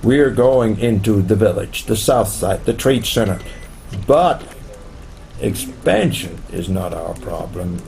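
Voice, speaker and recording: An actor playing a pompous, domineering megacorporation chief executive in a radio play. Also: male voice, on the low side, lively intonation, slow pace, a little mellow, slight background noise, heavily acted. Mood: smug, commanding. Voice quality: gravelly, creaky. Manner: pompous, self-assured.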